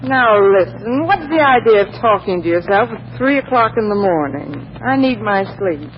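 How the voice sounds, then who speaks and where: A woman's voice: medium pitch, expressive, moderate pace, lightly acted. A worldly, sardonic roommate acted by a woman drawls her wisecracks bossily in old radio comedy style. The recording is dull, with faint noise behind her. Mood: dry, grumpy. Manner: dry, drawling, bossy. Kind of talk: 1940s radio comedy acting.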